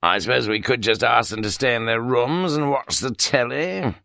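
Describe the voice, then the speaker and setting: A man's voice: medium pitch, moderate pace, gravelly, bright, forceful tone, dry recording, clean studio sound, voice pushed up. A man voicing a dryly ironic, irritable middle-aged chief inspector in an audiobook. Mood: curt, dry.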